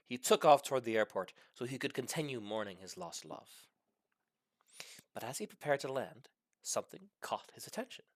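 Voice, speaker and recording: A man narrates a comic short story from a script. He tells it in a mock-serious, dramatic way, at a middling pitch. The recording is dry, with very little room sound. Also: fairly bright tone, no room sound. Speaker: story reader.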